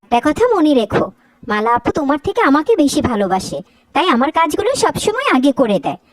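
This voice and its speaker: A woman with a high voice that leaps up and down as she voices a dubbed cartoon. Her delivery is scolding and quarrelsome. The recording is close and dry, with no room sound. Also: very animated, quick pace, no echo. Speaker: voice actor for several female cartoon characters.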